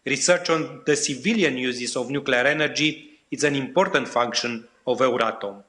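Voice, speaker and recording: A man who chairs a parliamentary committee reads a prepared statement, formal and steady, adding filler pauses when he goes off script. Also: mid-range voice.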